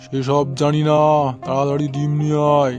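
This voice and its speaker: A man's voice: fairly high pitch, completely flat delivery, a little fast, a little mellow, muffled recording, soft background music, close to an everyday voice. A man playing an always hungry, bullying man-eating ogre in a cartoon, boastful, bossy and threatening. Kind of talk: cartoon character acting.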